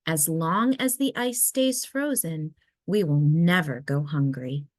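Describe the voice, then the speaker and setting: A woman voicing a gentle, reassuring mother polar bear from a storybook, soothing and unhurried. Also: low-pitched, no room sound, clean studio sound. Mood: calm, reassuring.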